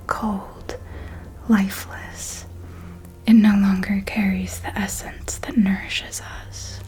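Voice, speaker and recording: A woman playing a seductive, controlling female vampire mentor in a whispered ASMR roleplay, speaking in a deliberate, commanding and breathy way. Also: medium pitch, a little mellow, natural voice, rough recording. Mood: stern warning.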